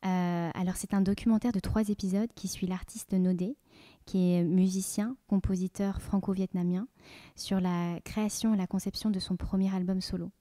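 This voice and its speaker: A woman hosting a podcast, asking questions in a friendly, measured way with frequent fillers. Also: mid-range voice, flat delivery, warm tone, no echo. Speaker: podcast host. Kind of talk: podcast interviewing.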